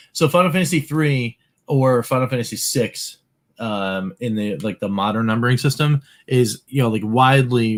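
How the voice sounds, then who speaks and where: A man co-hosting a podcast, explaining at length in a relaxed, casual way with lots of filler words. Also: medium pitch. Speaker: podcast co-host. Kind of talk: casual chat.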